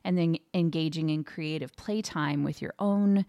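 A woman co-hosts a podcast in a low-pitched voice. She talks in a casual, conversational way. The recording is dry, with very little room around her voice. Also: normal speed, warm tone, no room sound. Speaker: podcast co-host.

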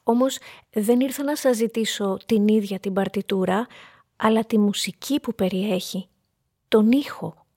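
An actress playing a wistful, polite woman client quietly wounded by lost love, speaking in a soft, measured radio-drama manner. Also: mid-range voice, husky. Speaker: actor in a radio play.

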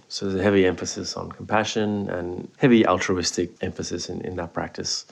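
A man's voice: low-pitched, vocal fry. A man from Sri Lanka, a meditation teacher, talking thoughtfully as a podcast guest and often repeating words as he goes. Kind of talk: relaxed podcast conversation.

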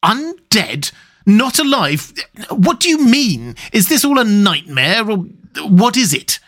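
A man's voice: high voice, sing-song, slow, fairly bright tone, voice pushed up, exaggerated voice. A man voicing a passionate, grieving young lord in an audiobook, impassioned and overwrought. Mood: shocked, anguished. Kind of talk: dramatic audiobook character reading.

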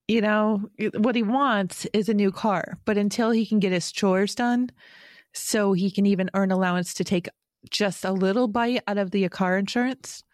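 A woman's voice: mid-range voice, lively intonation, measured pace, dry recording, studio quality. A woman in her forties from Rochester, New York, hosting a podcast in a chatty, self-deprecating way and laughing often. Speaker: podcast host. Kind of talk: casual podcast chat.

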